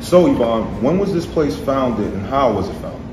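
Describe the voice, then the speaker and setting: A laid-back man hosting a food vlog, joking with his guest and backing up what she says. His mid-range voice carries room echo and loud background noise. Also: medium pitch, animated, moderate pace. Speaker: food vlogger host. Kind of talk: casual vlog interview. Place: sushi restaurant.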